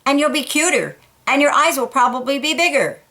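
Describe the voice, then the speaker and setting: A woman's voice: sits high, lively intonation, brisk, bright, forceful tone, pitched above the performer's own voice, heavily acted. A small storybook cockroach who delivers hard truths plainly but kindly, in a matter-of-fact tone, as a woman puts him on while reading. The voice lifts slightly above the reader's usual talk.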